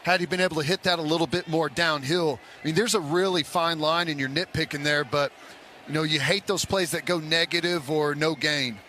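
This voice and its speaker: Man, high pitch, bright forceful tone, football color commentary, conversational analysis, stadium booth. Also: fairly quick, slight background noise.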